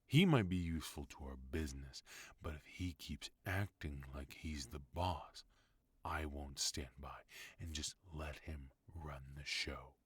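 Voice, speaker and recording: A man playing a commanding mafia boss husband in an ASMR audio roleplay, speaking with cold, measured menace. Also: rumbling low, slightly rough, dry recording, exaggerated voice. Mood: cold, threatening.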